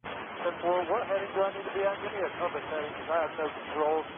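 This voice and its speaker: Male airplane passenger, higher-pitched, tinny cockpit radio, terse replies to air traffic control. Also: quick pace. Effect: aviation radio. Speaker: passenger flying a plane.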